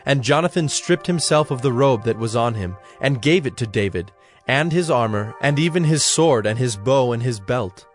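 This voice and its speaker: A man narrating a dramatized scripture story from a script, in a measured, solemn storytelling manner. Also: mid-range voice, animated. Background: background music.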